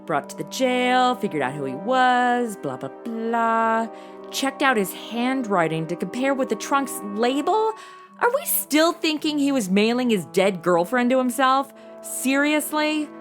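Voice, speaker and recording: A flippant young American detective sidekick in an audio comedy. Her voice is fairly high and swoops around as she complains in sarcastic slang, with light music behind her. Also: animated, measured pace. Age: 20s. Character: sarcastic, slangy modern twenty-something woman turned detective sidekick. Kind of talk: comedy audio drama acting.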